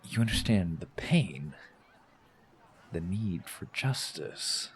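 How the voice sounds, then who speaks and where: Brooding deep-voiced rebel killer. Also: male voice, big pitch swings, slow, a little hiss or hum, heavily acted. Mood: taunting, intense. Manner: intense, breathy, dropping into whispers. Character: brooding, wounded male rebel killer, persuasive and menacing. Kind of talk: audio drama acting.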